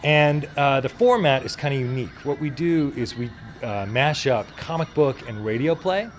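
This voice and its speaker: A man gives a casual, enthusiastic interview as a creative guest. His pitch sits in the middle of the male range and his phrasing is informal and conversational. There is noticeable ambient noise behind him. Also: expressive, normal speed. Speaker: writer and producer, interview guest.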